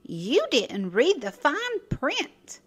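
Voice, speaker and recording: A woman reading a children's story aloud in a playful, expressive way, speaking directly to her listeners as narrator and host. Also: high voice, extremely slow. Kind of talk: animated read-aloud storytelling.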